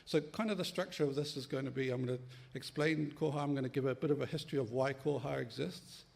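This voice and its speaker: A middle-aged man from New Zealand speaks with a New Zealand accent, his voice gravelly and edged with fry. He presents a conference workshop in an easy, unhurried manner, often pausing on "um" and "uh". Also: medium pitch. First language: Māori. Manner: relaxed, friendly, with many ums and uhs. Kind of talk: conference talk.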